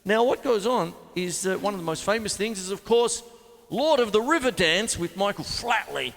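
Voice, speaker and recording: Male drum teacher, chatty and jokey, a touch high-voiced, reverberant home studio with faint music. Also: animated, normal speed, fairly bright tone. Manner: chatty, rambling, jokey asides. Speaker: drum teacher and show host. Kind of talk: casual live-to-camera drum lesson.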